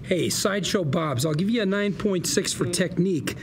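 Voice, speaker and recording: A man playing a glib, sarcastic small-time lawyer with a gravelly, theatrical delivery, reading drama dialogue at a table. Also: high-pitched, normal speed, a crowd in the background. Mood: mocking. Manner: wisecracking, theatrical. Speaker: actor at a script table read. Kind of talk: acted dialogue at a table read.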